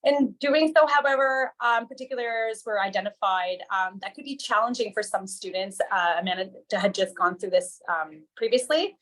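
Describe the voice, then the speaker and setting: A woman presents findings at an academic symposium. She speaks in a measured way and often pauses on "um" between points. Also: medium pitch, a bit bright, poor audio. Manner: measured, with frequent ums.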